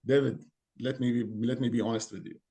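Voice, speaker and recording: A man from Syria with an Arabic accent, a community guest speaking earnestly in halting English and repeating words as he searches for them. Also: mid-range voice, steady tone, dark tone. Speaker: guest, Assyrian community member. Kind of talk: interview on a call-in podcast.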